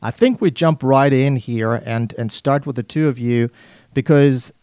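A man's voice: mid-range voice, measured pace, darkish tone, no room sound, studio quality. A man with a German accent hosting a live business radio talk show, upbeat and chatty, laughing often. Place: radio studio.

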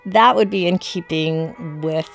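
A woman's voice: lowish voice, measured pace, creaky, faint music behind. A woman giving informal history commentary as an expert, with an emphatic, conversational manner.

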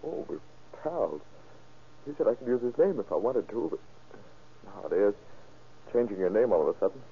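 An earnest young man being questioned in a vintage radio drama. His voice is fairly low and husky, his delivery hesitant and uneasy. The recording is thin and scratchy. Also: sing-song, moderate pace, narrow, tinny audio. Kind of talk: old-time radio crime drama acting.

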